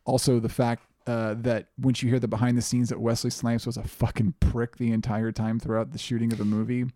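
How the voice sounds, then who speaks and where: Man, casual podcast co-host, emphatic, foul-mouthed, medium pitch, dry recording. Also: flat delivery, brisk, mellow, no echo. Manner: emphatic, heavily profane. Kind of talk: casual chat.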